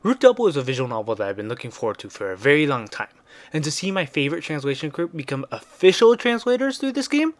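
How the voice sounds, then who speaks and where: A man's voice: fairly high pitch, very animated, quick pace. Man narrating a scripted review. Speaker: video game reviewer.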